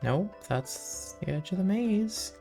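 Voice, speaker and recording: A woman's voice: mid-range voice, lively intonation, slow pace, gravelly, mellow, soft background music. A woman giving casual, dry and offhand commentary as she streams a video game.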